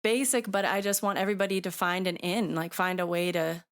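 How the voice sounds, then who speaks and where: A woman's voice: on the low side, fairly even intonation, brisk. A woman hosting a podcast, asking casual interview questions in a hesitant way with restarts and fillers. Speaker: podcast host.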